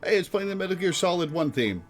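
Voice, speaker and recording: A man's voice: high voice, very animated, fast talker, faint music behind. A man streaming casual live reaction commentary as he watches game cutscenes.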